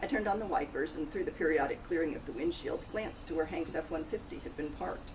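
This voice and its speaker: A woman's voice: low voice, fast talker. Woman reading a novel, distant noisy recording. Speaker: author reading aloud from own novel. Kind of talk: first-person audiobook-style narration of a cozy mystery. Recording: distant mic, loud outdoor noise, birds, crickets.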